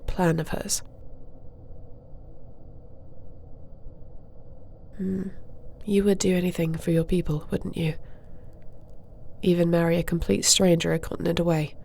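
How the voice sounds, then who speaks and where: A woman playing a formal, dutiful dragon-shifter princess in an audio roleplay, speaking in a measured, regal and diplomatic manner. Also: on the low side, creaky, mellow, slight background noise. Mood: earnest, measured. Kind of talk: scripted audio roleplay acting.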